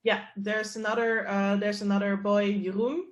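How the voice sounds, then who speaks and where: A woman's voice: mid-range voice, moderate pace, soft background music. A woman from the Netherlands, a company co-founder, talking as a guest in a casual interview and telling stories with laughter. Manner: laughing, lively storytelling. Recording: roomy echo.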